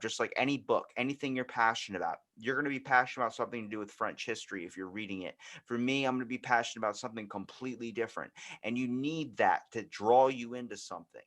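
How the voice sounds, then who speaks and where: Young man, American accent, medium pitch, casual podcast chat, rambling storyteller, acts out quotes. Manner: rambling, animated retelling with acted-out quotes. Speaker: podcast host.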